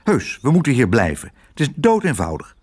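A man's voice: mid-range voice, huge pitch swings, fairly quick, husky. A man voicing an easygoing, optimistic father in a children's story, cheerful and reassuring. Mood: contented, persuasive.

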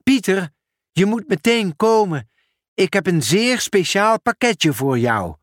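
A man reading a storybook aloud, voicing an excitable male sorting office manager who talks in a raised, exclaiming voice. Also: slow pace, no room sound, studio quality. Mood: excited. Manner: excited, high-pitched, exclaiming.

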